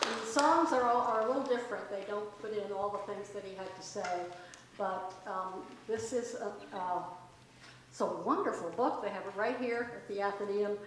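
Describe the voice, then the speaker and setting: A woman's voice: medium pitch, normal speed, some chatter behind. Woman reader at a library event, super echoey big room, bit of background noise. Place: large indoor library art gallery.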